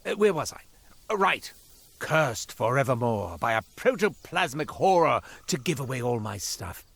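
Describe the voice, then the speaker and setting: Theatrical, swooping, self-pitying merchant. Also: male voice, medium pitch, very animated, normal speed, creaky, fairly bright tone, dry recording, heavily acted. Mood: melodramatic lament. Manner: wry, florid, melodramatic salesman patter. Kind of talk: scripted video game character monologue.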